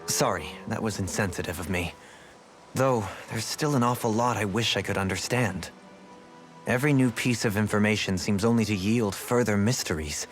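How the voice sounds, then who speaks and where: A man's voice: on the low side, moderate pace, lightly acted. An actor playing a refined, thoughtful nobleman turned rebel in a video game dub, eloquent, formal and pensive. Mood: pensive, puzzled. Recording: game music playing behind. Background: background music.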